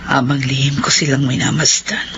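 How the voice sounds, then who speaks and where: A woman playing a regretful mother who lives apart from her family, speaking softly and sorrowfully in a radio drama. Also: extremely low pitch, little pitch movement, normal speed, noisy background. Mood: wistful. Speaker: narrating character. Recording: dull lo-fi audio over music.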